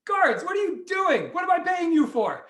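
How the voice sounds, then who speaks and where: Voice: very high voice, very animated, moderate pace, roomy sound, pitched above the performer's own voice, full character voice. A storyteller voicing a big, blustering king, indignant and exasperated. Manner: indignant, incredulous shouting.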